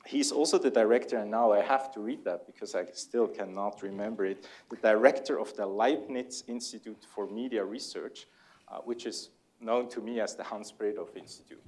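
A man in his forties with a Swiss German accent, a native German speaker, moderating a public panel and introducing speakers courteously, with frequent hesitant pauses. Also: lowish voice, a little mellow. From Switzerland. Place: large lecture hall before a seated audience, on stage.

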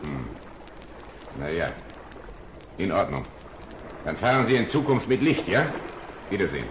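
An actor playing a brisk, watchful harbour police officer in a radio play, with a curt, commanding manner. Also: male voice, deep voice, very animated, echoey room, background noise. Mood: cool, suspicious.

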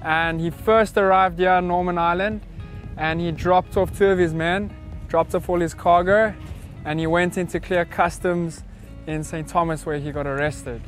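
A man's voice: sits high, moderate pace, soft background music. Young man telling a legend on a boat. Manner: relaxed, with frequent ums. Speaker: boat captain. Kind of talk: casual storytelling. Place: outdoors on a sailboat deck at sea.

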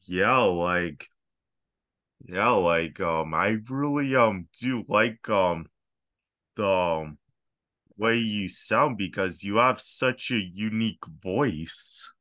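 Slow, bright-voiced young male interviewer. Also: fairly low pitch, dry recording, clean studio sound. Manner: hesitant, many fillers. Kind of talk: reading questions on video call.

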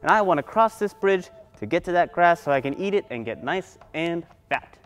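A man's voice: a little high, animated, normal speed, a bit bright, in a large hall, pitched above the performer's own voice. A man voicing a nervous, pleading medium-sized billy goat in a children's story.

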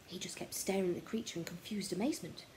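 A woman reading a children's story as narrator, expressive and dramatic, sometimes dropping to a hush. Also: lowish voice, quick pace, noisy background. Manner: expressive, dramatic, hushed at times. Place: room at home, indoors.

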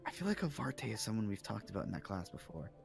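A man's voice: fairly low pitch, very animated, quick pace, vocal fry, over music, full character voice. A man playing a knowledgeable young student mage, explaining and musing hesitantly in an improvised game roleplay.

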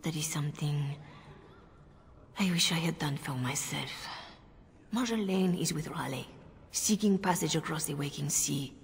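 Game casting: a composed, worldly chantry mother, low and measured. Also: creaky, long reverb tail. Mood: calm, grave. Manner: measured, formal. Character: composed, wry, worldly middle-aged revered mother of a chantry. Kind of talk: dramatic game dialogue. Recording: faint background noise.

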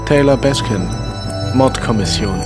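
An actor as a terse middle-aged homicide detective, music behind. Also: male voice, medium pitch, a little slow, lightly acted. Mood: businesslike. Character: stocky middle-aged male homicide detective, matter-of-fact. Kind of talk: German audio drama acting.

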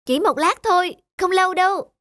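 A woman's voice: very high voice, normal speed, no room sound, studio quality. A woman voicing an eager, cheerful little boy bear cub in a cartoon. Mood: eager helpful. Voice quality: bright childlike. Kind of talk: cartoon voice acting. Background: music.

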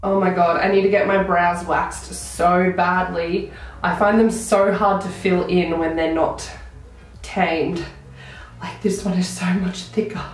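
A young woman with a croaky voice, chatting enthusiastically through a makeup tutorial to a close camera in a small room. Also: fairly low pitch, fairly even intonation, a little slow, faint music behind. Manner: chatty, enthusiastic product talk. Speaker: beauty vlogger. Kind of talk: casual makeup tutorial chat. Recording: very echoey room.

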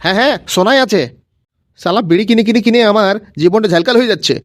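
Man acting a grumbling old grandpa, high voice, fast ranting, comedy cartoon, repetitive scolding. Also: no room sound, clean studio sound, natural voice. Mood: accusing. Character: angry, foul-mouthed, grumbling old grandfather.

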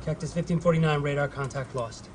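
An actor with an American accent playing a terse, anxious air traffic controller in a film. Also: male voice, fairly high pitch, quick pace, crowd noise, natural voice. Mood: urgent, tense. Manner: terse, urgent. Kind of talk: film acting. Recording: noisy film control room.

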